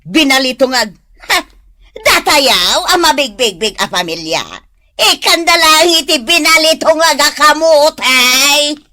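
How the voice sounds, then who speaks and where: A woman's voice: high voice, expressive, unhurried, pushed and bright, heavily acted. An actress playing a bossy, nagging mother in an Ilocano radio drama, with an Ilocano accent. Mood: complaining.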